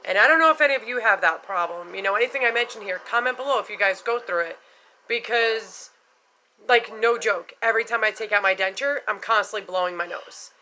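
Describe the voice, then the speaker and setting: A woman's voice: medium pitch, brisk, bright, forceful tone, faint music behind, rough recording. A middle-aged woman talking casually and frankly in a vlog, chatty, swearing now and then and full of "you know" fillers. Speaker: vlogger sharing denture experiences. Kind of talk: casual unscripted vlog talk.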